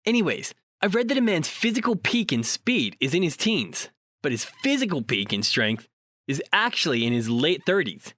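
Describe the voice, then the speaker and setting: An actor playing a chatty, cocky male paranormal investigator in an audio drama, talking in a wordy, animated way. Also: a little high, moderate pace, no echo, heavily acted. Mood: cocky, teasing. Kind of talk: audio drama dialogue acting.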